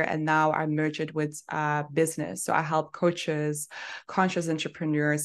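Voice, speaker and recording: A young adult woman from Belgium, an astrologer and business mentor, talking as a guest in a casual, effusive interview chat over a remote call. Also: deep voice. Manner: warm, effusive, spiritual wording, soft laughs.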